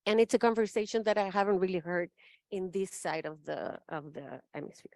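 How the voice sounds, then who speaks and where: A woman's voice: medium pitch, a little fast, a little mellow, dry recording, clean studio sound. A woman from Chile, speaking as a panelist in a thoughtful, emphatic way and often checking in with "right?". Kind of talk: spoken forum remarks.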